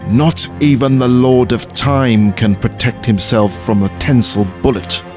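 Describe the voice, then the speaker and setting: A man playing a grim, vengeful assassin in an audio drama, speaking in a menacing, deliberate manner. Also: medium pitch, steady tone, a little mellow, close to an everyday voice. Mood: vengeful, resolute. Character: grim, vengeful assassin, last of his people. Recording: music behind the voice.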